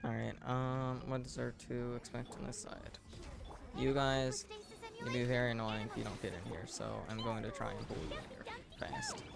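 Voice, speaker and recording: A man giving casual live video game commentary with a dry, deadpan, self-deprecating manner. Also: medium pitch, normal speed, creaky.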